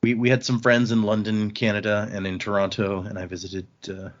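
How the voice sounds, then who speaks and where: A man's voice: fairly low pitch, flat delivery, a little fast, lo-fi sound. A man hosting a casual book podcast, recapping the story conversationally and loosely voicing characters' lines, with some swearing. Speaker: podcast host recapping a novel.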